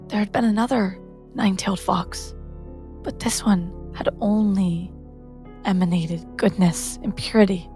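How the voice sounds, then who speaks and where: Mid-pitched woman playing a tabletop game. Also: dark tone. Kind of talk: in-game narration and casual chat.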